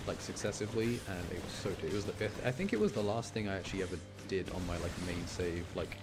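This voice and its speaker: A man's voice: deep voice, expressive, fast talker, loud music behind the voice. A man giving casual, enthusiastic gameplay commentary in a rambling, slangy way. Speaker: game streamer.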